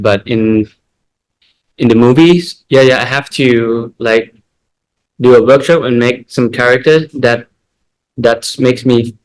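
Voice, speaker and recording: A young actor from Thailand speaking English with a Thai accent, halting and repeating words as he searches for phrasing. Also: medium pitch, rough recording.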